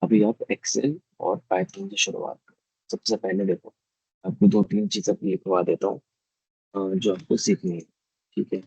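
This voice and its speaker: A hoarse male teacher giving a live online class, explaining step by step and often checking that students follow. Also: lowish voice, big pitch swings, a little slow, dark tone, no echo, rough recording. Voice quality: husky, gravelly.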